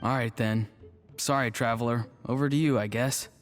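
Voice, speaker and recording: A man's voice: mid-range voice, fairly even intonation, normal speed, close to an everyday voice. An actor playing a mild, polite and dutiful young man in a video game cutscene. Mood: mild, easygoing. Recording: music behind the voice. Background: background music.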